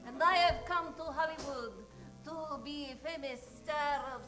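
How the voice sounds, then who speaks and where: Vain foreign diva, seductive, slow and bright, radio play, music behind. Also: female voice, high-pitched. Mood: boastful. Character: vain, seductive foreign stage actress, femme fatale. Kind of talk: improvised old-time radio detective comedy.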